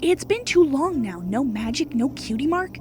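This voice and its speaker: A woman's voice: high-pitched, sing-song, normal speed, background noise. A woman playing an anxious, fretting pegasus mother in a cartoon audio drama. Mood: worried.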